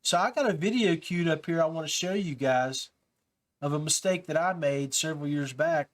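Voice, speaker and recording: Higher-voiced man hosting a podcast. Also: normal speed. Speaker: podcast host. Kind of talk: casual instructional talk to viewers.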